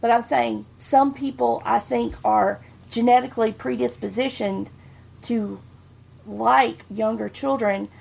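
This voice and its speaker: Lady cohosting a podcast, raspy fry in her voice, blunt and laughy. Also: on the high side, steady tone, unhurried, darkish tone, rough recording. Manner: frank, laughs often. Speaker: podcast co-host. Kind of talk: casual podcast chat.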